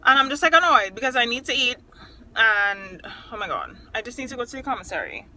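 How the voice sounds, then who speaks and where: A woman's voice: a little high, big pitch swings, a little fast, bright, forceful tone, low-quality recording. A young woman from Trinidad and Tobago chatting casually into her phone, exclaiming often as she vlogs at home. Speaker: vlogger. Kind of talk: casual vlog chat. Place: at home, indoors and in the yard.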